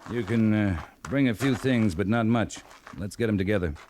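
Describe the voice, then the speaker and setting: An actor playing a stern, reserved cavalry captain in an old-time radio western, speaking formally and haltingly. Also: male voice, lowish voice, a little mellow, natural voice. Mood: terse, commanding. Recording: noisy old radio drama recording.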